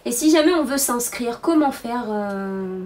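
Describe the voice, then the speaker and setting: A woman's voice: fairly high pitch, animated, unhurried, darkish tone, far from the mic, a little hiss or hum. A woman acting as interviewer, putting informational questions to a guest.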